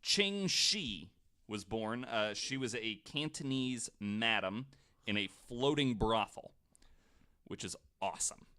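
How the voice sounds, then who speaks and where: Guy hosting a podcast, low but bright forceful voice, jokey, telling history casually. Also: animated, slow. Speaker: podcast host.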